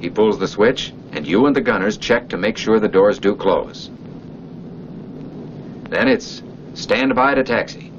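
A male actor as a stern flight instructor with a fairly low voice, needling and directing a trainee in a 1940s military training film. The old soundtrack is muffled, with clear noise behind the voice. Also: measured pace, pauses often, lightly acted. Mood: instructive. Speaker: off-screen narrator instructing a trainee.

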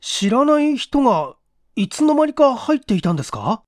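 A man performs a courteous, inquisitive gentleman in a vintage mystery drama. His pitch swings widely as he questions things in puzzled, easily surprised tones. The sound is dry and close. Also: sing-song, moderate pace, no room sound. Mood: questioning. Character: Okamoto, polite, puzzled, devoted male apartment manager.

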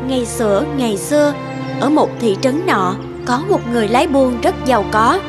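A woman's voice: high voice, animated, moderate pace, music in the background. A woman narrator reading a scripted storybook tale.

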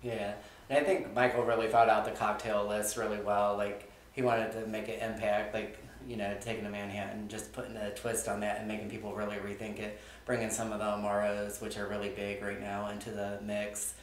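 A man, a restaurant co-owner, answering interview questions in a relaxed, rambling way full of "like". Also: on the low side, a little fast, hardly any pauses, a little hiss or hum. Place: small studio on a couch. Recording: echoey room.

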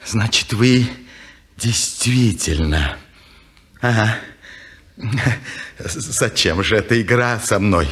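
An actor playing a pious, anxious old watchmaker in a 1950s radio drama, cornered and pleading. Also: male voice, lowish voice, slow pace, long pauses, a bit bright. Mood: shaken. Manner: wheedling, pleading, drawn out.

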